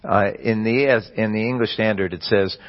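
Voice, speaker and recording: Man preaching a measured sermon. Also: on the low side, fairly even intonation, dull audio. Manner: measured teaching delivery with occasional slips and restarts.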